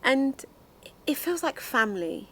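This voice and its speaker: A woman's voice: high-pitched, very animated, measured pace, rough recording. A woman in her thirties answering interview questions in an earnest, reflective way. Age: 30s.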